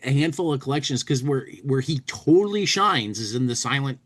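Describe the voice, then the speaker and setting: A man with an average-pitched voice co-hosts a casual show. He comments and reads aloud in a halting way, often doubling back on words as he thinks. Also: lively intonation. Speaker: podcast co-host. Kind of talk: casual commentary with dramatic reading from a humor magazine.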